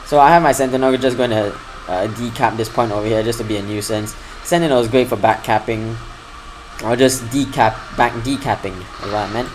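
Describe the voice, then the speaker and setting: A man casually commentating over a replay of his own strategy game match. His delivery is fairly flat and steady, and it lifts when the action excites him. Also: medium pitch, brisk, slight background noise, poor audio. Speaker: gaming channel host.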